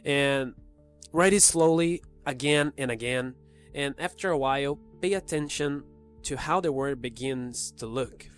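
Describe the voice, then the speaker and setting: A man's voice: medium pitch, measured pace, faint music behind. A man with a slight cold, hosting a casual explainer and talking straight to the viewer. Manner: conversational, talks directly to the viewer. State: has a cold, voice a little off. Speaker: video host explaining psychology.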